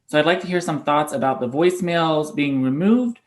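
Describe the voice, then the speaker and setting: A man's voice: on the high side, a little fast. A man hosting an activist panel, moderating the discussion and introducing speakers and questions.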